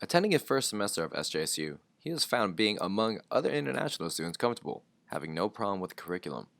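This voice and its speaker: A man's voice: lowish voice, big pitch swings, racing, gravelly, a bit bright, no echo. A man narrating scripted news stories as a reporter.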